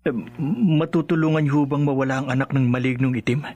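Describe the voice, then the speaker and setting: Actor as urgent, troubled Filipino husband, mid-range voice over faint music, horror radio melodrama. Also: male voice, mid-range voice, fairly even intonation, fast, a little mellow, natural voice. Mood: urgent, worried. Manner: hesitant, agitated.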